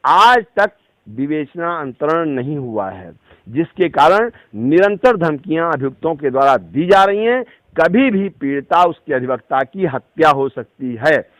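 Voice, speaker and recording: A man's voice: on the high side, fairly bright tone, no echo. A man giving a formal, emphatic statement as an advocate in an interview. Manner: formal, emphatic legal phrasing. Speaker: advocate giving a victim's account. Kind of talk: phone interview statement, recounting a complaint.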